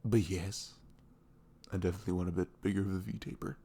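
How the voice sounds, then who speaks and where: A man playing a sweet, caring young boyfriend in an intimate ASMR roleplay, chatting casually and rambling in a velvety low voice. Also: fairly even intonation, quick pace, warm tone, slightly rough. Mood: playfully griping. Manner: chuckling, informal, loosely rambling.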